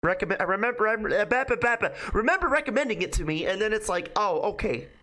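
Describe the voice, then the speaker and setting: A game streamer chatting casually to viewers and reading aloud, informal and profane, with a halting delivery. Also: mid-range voice, expressive, pushed and bright. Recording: music behind the voice. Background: music.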